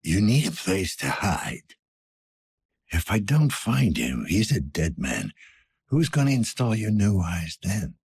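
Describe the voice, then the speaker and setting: A man playing a weary, gruff older police detective in a cyberpunk video game, speaking tersely in a low-key, world-weary way. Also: very animated, slow pace, a little mellow, no echo, studio quality, heavily acted. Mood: stern, pressing. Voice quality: husky, gravelly.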